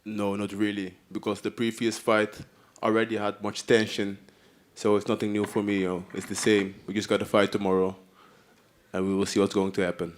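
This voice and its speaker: A man's voice: lowish voice, flat delivery, brisk. A male champion kickboxer answering at a press conference in an unfazed, matter-of-fact way.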